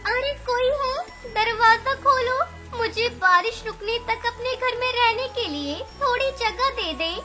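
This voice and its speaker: Woman voicing a very high-pitched gentle mother bird. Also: lively intonation, unhurried, few pauses. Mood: pleading. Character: gentle anxious mother bird, pleading and caring. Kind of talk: Hindi animated children's story dialogue. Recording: music behind the voice.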